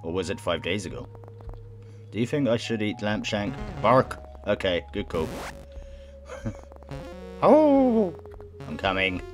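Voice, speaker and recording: Casting: young adult male let's-player, low register, offhand read-aloud delivery with casual asides, light music underneath. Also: normal speed, long pauses. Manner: stumbles over words while reading. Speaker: gaming video host. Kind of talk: casual let's play, reading game text aloud with reactions. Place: small room at home, indoors. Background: game music.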